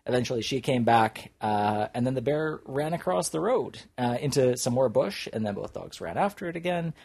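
A man co-hosting a podcast, telling a rambling story with lots of uh fillers and a deadpan delivery. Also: mid-range voice, moderate pace, creaky, slightly rough, no echo. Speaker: podcast co-host. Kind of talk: casual storytelling chat.

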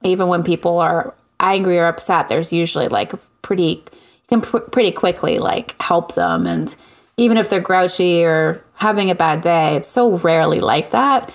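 Woman, baby voice, podcast co-host, casual chat, laughs a lot. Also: moderate pace. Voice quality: high, youthful baby voice. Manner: laughing, animated storytelling.

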